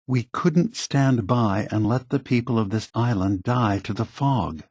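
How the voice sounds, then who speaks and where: A man voicing an ancient, gentle, weary android leader in a video game, measured, halting and earnest, with a soft, husky delivery. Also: mid-range voice, no room sound, studio quality. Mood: grave, explanatory. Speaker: leader of a synth refuge. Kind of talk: video game character dialogue.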